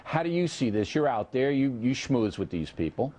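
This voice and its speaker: A man hosting a TV news interview, asking earnest, probing political questions and often filling pauses with "uh". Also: medium pitch, sing-song, dark tone.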